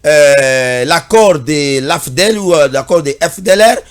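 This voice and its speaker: A man's voice: on the high side, unhurried. A man with a Congolese accent giving emphatic political commentary in long, repetitive phrases. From Democratic Republic of the Congo.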